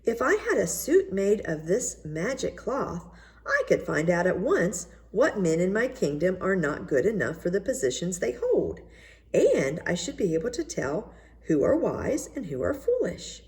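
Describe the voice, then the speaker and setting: A woman's voice: medium pitch, sing-song, a little slow, darkish tone. A woman reading the part of a vain, fretful emperor in a storybook tale. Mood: self-satisfied. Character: vain, fretful male emperor fond of finery.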